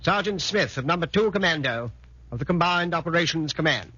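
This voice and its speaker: A man gives a formal, precise radio introduction in 1940s style. His voice is high, sharp and husky, on a dull old recording. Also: lively intonation, fairly quick, no room sound. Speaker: author giving an introduction.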